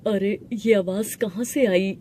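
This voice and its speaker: Woman playing a mellow-voiced humble poor husband. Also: mid-range voice, steady tone, slow, close to an everyday voice. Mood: gentle, reassuring. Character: humble poor husband, adult man, pleading and reassuring. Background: background music.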